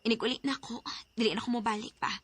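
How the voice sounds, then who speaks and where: A woman's voice: fairly high pitch, fairly even intonation, racing, a bit bright, breathy edge. A woman acting a tender but firm young sweetheart in a radio drama. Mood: reassuring, firm.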